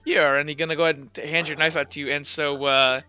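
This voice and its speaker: A man narrating as game master, improvising informally in halting descriptions full of filler 'like'. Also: a little high, level tone, sharp tone, smooth, muffled recording. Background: background music.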